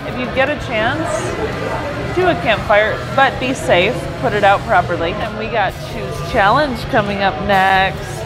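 A woman's voice: mid-range voice, a little slow, rough recording. An American woman in her thirties chatting casually and playfully as a vlog host. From United States. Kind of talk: casual vlog chat, beer tasting. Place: indoor brewery taproom. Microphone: clip-on lapel mic. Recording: busy taproom with loud music and crowd. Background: music, bar crowd chatter.

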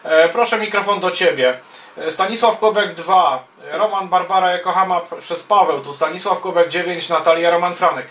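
Male ham radio operator, high voice, procedural calls mixed with casual remarks, hesitant phrasing, off-mic. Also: normal speed, background noise. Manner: procedural, spells callsigns in phonetic words, hesitant between phrases. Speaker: amateur radio operator and YouTuber. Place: small wood-panelled radio room indoors. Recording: roomy, distant microphone sound.

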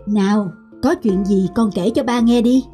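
A gentle, caring young father in a cartoon family drama. Clear music plays behind the voice. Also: high voice, unhurried.

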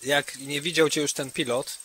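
A man's voice: on the high side, fairly even intonation, normal speed, bright, forceful tone, breathy edge, rough recording. A man narrating an improvised tabletop game as game master, speaking to players directly in a conversational way.

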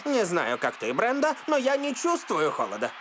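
A man's voice: high-pitched, wildly swooping pitch, normal speed, noisy background. A man playing a boastful, stubborn football co-commentator with showy bravado in a dubbed cartoon. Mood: cocky. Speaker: sports commentator.

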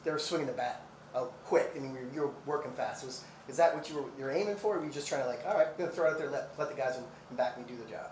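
A man's voice: medium pitch, racing, hardly any pauses, a little mellow, recorded from a distance. A man moderating a post-game press conference with casual sports questions. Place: indoor press conference room. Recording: echoey, off-mic, faint room noise.

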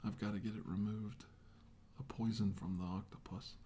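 A middle-aged man playing a grim, remorseful military scientist in an ASMR drama, speaking in hushed, halting phrases that often drop to a whisper. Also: fairly low pitch, level tone, natural voice. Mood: grim resolve. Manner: hushed, halting, slow delivery in short phrases. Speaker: ASMR role-play actor. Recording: hushed voice over clear background noise.

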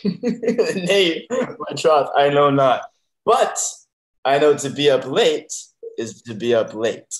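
A man in his twenties playing an earnest, simple gentleman in a Shakespeare reading over a video call. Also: on the high side, lively intonation, takes it slowly, long pauses, reverberant. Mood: merry, dim. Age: 20s. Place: bedroom.

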